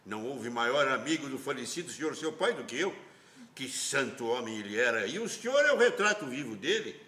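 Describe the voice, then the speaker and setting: A man playing an effusive, flattering old family friend in a radio play, formal and gushing. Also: medium pitch, brisk. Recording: echoey, reverberant room sound.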